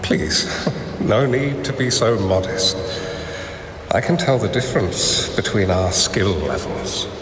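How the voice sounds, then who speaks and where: An actor voicing a courteous, reflective old master in a game, speaking formally and with measured admiration. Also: male voice, fairly low pitch, lively intonation. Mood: admiring, gracious. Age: older. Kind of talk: dramatic game voice acting. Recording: echoey long reverb, music behind.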